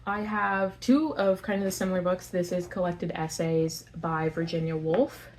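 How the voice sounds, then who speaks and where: A woman with a fairly low voice talks casually to her camera about books from the floor of her home. She rambles in a friendly way, leans on filler words and often stops to correct herself. A faint hiss of background noise sits under her voice. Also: steady tone, moderate pace. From Canada. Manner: chatty, filler-heavy, corrects herself on names. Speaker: book vlogger.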